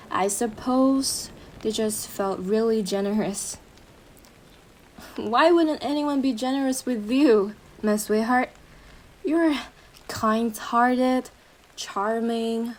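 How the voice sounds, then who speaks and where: A woman's voice: on the high side, poor audio. A woman playing an obsessive, possessive girlfriend in a roleplay audio drama, cooing endearments to the listener with a sweet but menacing manner.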